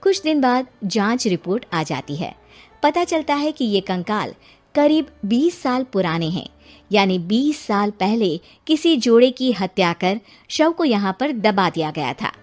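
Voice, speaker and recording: A woman narrator reading a scripted story. Her delivery is very animated at an average pitch, with faint music underneath. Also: measured pace.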